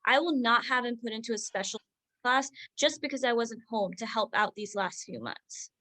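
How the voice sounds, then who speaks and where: Woman acting high-voiced, animated, defensive doctor mother. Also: normal speed, dry recording. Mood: indignant, defiant. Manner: forceful, insistent, indignant. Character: defensive, stressed doctor mother of a struggling third grader. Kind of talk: staged reading of a contemporary drama.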